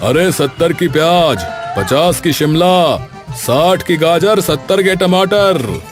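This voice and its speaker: A man playing a stingy, boastful village vegetable seller in a comic cartoon, sarcastic and gruffly dismissive. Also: fairly high pitch, expressive, takes it slowly, over music. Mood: hawking.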